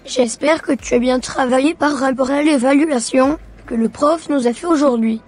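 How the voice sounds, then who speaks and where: Cartoon schoolboy, played by a woman: devout, sincere, high-pitched, light music underneath. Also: normal speed, darkish tone, lightly acted. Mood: earnest. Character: earnest, devout young schoolboy. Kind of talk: scripted cartoon dialogue. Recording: music behind the voice.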